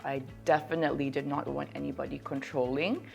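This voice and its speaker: A woman's voice: rumbling low, brisk, gravelly, faint music behind. A young woman from Thailand talking casually and expressively as a guest on a discussion show. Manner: expressive, animated, laughs often. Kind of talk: casual conversation.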